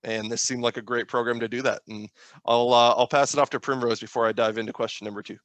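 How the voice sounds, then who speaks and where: A man's voice: medium pitch, level tone, quick pace, fairly bright tone, no room sound, muffled recording. A man in his thirties talking informally as a student panelist in a webinar, introducing his background. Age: 30s.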